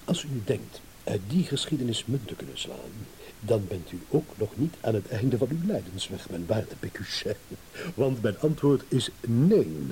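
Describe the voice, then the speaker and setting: An actor playing a haughty, sardonic gentleman who will not be pushed around, condescending and emphatic, in a radio play. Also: on the low side, sing-song, moderate pace, rough recording. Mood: defiant, scornful. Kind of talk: Dutch-language radio play acting. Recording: hissy old radio play recording.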